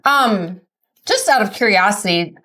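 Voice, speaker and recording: A woman's voice: mid-range voice, animated, measured pace, sharp tone. A woman with an American accent hosting a casual chat interview in an informal, reactive, sweary way. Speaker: podcast host.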